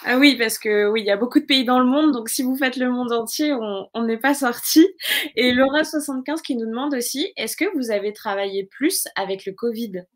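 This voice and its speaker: A woman's voice: a little high, fairly quick. A woman hosting a casual interview, with informal, hesitant, wordy phrasing. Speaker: interview host.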